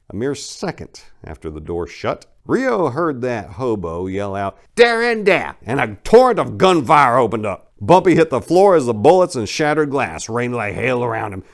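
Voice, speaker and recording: A man giving a dramatic scripted reading of gritty crime fiction as narrator, with streetwise vernacular. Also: mid-range voice. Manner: profane Southern street vernacular.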